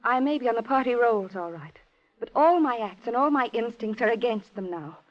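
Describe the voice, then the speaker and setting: A woman's voice: fairly high pitch, animated, fairly quick, close to an everyday voice. An actress in a 1950s radio drama playing a refined, guarded married lady, plaintive and formal, with an old-fashioned dignified inflection. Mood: defiant. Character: refined, guarded married lady, sensitive former Communist, proud. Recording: muffled lo-fi old radio recording.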